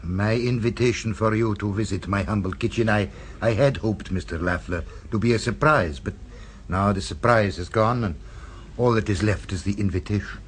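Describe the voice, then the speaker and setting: An actor playing a smooth, sinister restaurant owner in an old radio drama, speaking with measured formality. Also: male voice, lowish voice, lightly acted. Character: suave sinister male restaurateur, commanding. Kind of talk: 1970s radio drama acting. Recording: old radio recording with faint hiss.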